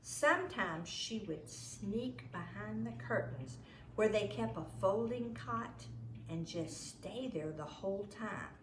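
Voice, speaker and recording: A woman narrates a storybook at an unhurried pace. Her delivery is expressive and emphatic, with lively swings in pitch. The recording is roomy and echoing. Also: slight background noise. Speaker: storybook reader. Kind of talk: expressive read-aloud narration.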